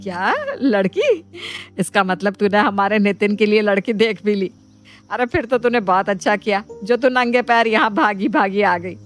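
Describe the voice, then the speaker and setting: A woman voicing an eager, trusting middle-aged mother in a dramatised moral story, chatty and expressive. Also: medium pitch, moderate pace, faint music behind, close to an everyday voice. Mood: surprised, eager.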